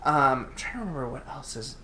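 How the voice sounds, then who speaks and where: A man's voice: mid-range voice, expressive, fast, bright, forceful tone. A man co-hosting a podcast in casual conversation about video games. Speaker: podcast co-host. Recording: light background noise.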